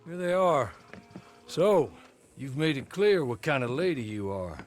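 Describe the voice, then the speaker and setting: Measured, discreet frontier servant. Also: male voice, medium pitch, sing-song, faint music behind. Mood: composed, businesslike. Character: formal, discreet male servant of a frontier mistress. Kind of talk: video game cutscene acting.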